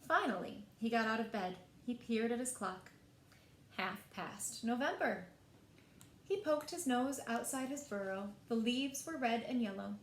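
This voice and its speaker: A woman reading picture books aloud as a storyteller, in an expressive, deliberate way meant for young children. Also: a little high. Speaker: library storyteller. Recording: echoey room, faint background noise.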